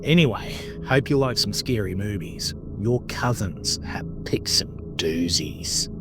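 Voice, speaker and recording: An actor playing a jovial, teasing middle-aged uncle in an audio drama. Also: male voice, on the low side, expressive, a little slow. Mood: jovial, chummy. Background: music.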